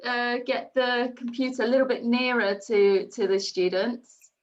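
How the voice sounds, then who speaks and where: A woman moderates a video call in a bright, slightly raised voice, cheerful and polite with plenty of ums. The sound is dull and muffled, like compressed call audio. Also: a little high, a little slow. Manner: upbeat, polite, with many ums and thank-yous. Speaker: host of school information session.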